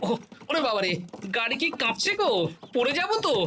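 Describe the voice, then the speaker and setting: A woman's voice: sits high, very animated, brisk, background noise. A woman voicing a witty, food-loving grandfather in a cartoon, chatty and full of exclamations. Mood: alarmed, complaining. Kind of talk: cartoon voice acting.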